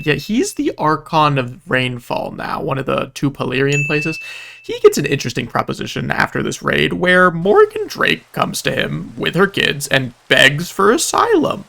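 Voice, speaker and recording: Man, creaky mid-range voice, scripted recap narration, wry and informal, soft guitar music behind. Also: on the high side. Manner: wry, informal, mock-epic storytelling. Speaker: video narrator.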